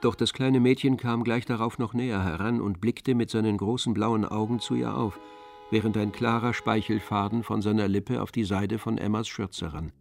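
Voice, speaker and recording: A man narrating a literary radio drama in a measured, composed storytelling manner. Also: fairly low pitch, fairly even intonation, vocal fry, faint music behind. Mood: measured, descriptive.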